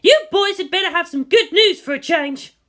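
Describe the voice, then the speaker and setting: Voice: very high-pitched, animated, normal speed, fairly bright tone, voice pushed up. A narrator voicing a fierce, defiant female military commander who swears and snaps in anger. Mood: exasperated, defiant.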